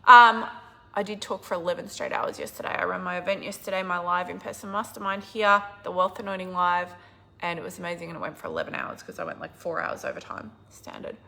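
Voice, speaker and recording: A middle-aged woman business coach with a hoarse, husky, croaky voice, talking casually and emphatically to a live audience. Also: lowish voice, lively intonation, fast. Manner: enthusiastic, emphatic, swings from loud to quiet. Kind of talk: casual livestream talk.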